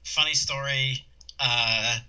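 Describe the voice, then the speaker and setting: A man's voice: medium pitch, fairly even intonation, slow, pushed and bright, clear, resonant tone. A man in his thirties from New Zealand with a New Zealand accent, chatting casually with lots of fillers and restarts. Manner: rambling with many fillers and restarts, laughs easily. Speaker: guest. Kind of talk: casual conversation.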